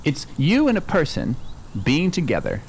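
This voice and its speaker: A man's voice: fairly high pitch, huge pitch swings, normal speed, a little hiss or hum. An actor in an improvised comedy radio play playing an earnest, progressive family father who reassures and lectures, often checking for agreement. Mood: earnest preaching. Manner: reassuring but lecturing, seeks agreement with "right?".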